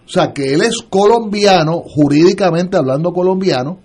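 A man's voice: sits high, moderate pace, darkish tone, slightly rough. A man, a lawyer speaking as a guest on a political radio panel, hesitating often with filler sounds and restarts.

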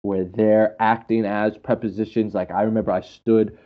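A man's voice: on the low side, little pitch movement, moderate pace. A man speaking casually and enthusiastically as an English teacher, explaining with anecdotes and repeating phrases for emphasis. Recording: dull, muffled lo-fi sound.

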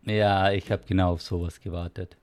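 A woman's voice: rumbling low, steady tone, moderate pace, darkish tone, clean tone, no echo. A woman co-hosting a podcast, talking casually and wryly in short asides. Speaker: podcast co-host.

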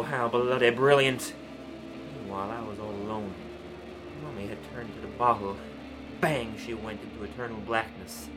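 A man's voice: mid-range voice, close to an everyday voice. A man playing a pompous, crude biscuit heir and war veteran in a darkly comic radio play, with a theatrical delivery.

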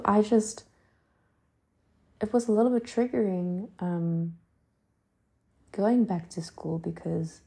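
Slow, gravelly woman musing. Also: on the low side. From South Africa. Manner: musing, rambling, full of fillers and soft whispered asides. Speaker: podcast host. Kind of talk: casual reflective monologue.

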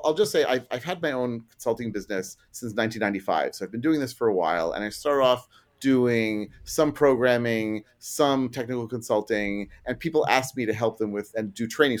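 Middle-aged man explaining casually as podcast guest. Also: mid-range voice. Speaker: interview guest, programming trainer.

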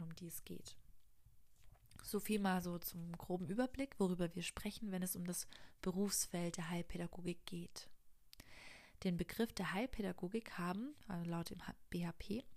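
Low gravelly woman hosting a podcast. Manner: explanatory, with occasional fillers. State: has a cold. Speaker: podcast host. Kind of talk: informative podcast monologue.

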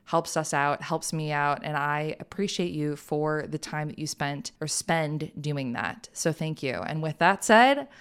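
Upbeat Midwestern woman podcast host, low-pitched, casual and lively. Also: unhurried, hardly any pauses, clear, resonant tone. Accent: Midwestern American. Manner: upbeat, enthusiastic, laughs often. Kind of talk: casual interview chat.

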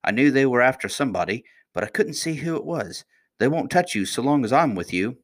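A man's voice: mid-range voice, lively intonation, fairly quick, pitched above the performer's own voice, close to an everyday voice. A man voicing a cheerful, fearless twelve-year-old miner boy in a storybook, plain-spoken and self-assured. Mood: confident.

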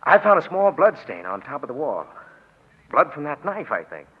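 An actor playing an anxious professional gambler in a jam, explaining urgently in a husky voice on 1940s radio. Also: male voice, on the high side, very animated, normal speed. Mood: pleading, afraid. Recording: muffled old radio recording.

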